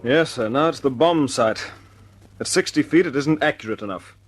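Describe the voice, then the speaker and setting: An actor playing an earnest young bomber squadron commander in an old radio drama, clipped and direct. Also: male voice, medium pitch, normal speed, lightly acted. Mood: commanding. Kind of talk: 1940s radio acting.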